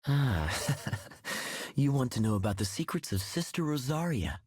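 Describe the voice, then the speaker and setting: A man playing a haughty, secretive, knowing gentleman in a video game, measured and aloof with a husky voice. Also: medium pitch, very animated, no room sound, clean studio sound. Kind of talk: video game character acting.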